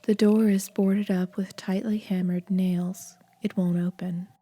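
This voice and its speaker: A woman narrating a horror video game fan dub in a hushed, breathy, grave and measured way. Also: medium pitch, level tone, lightly acted.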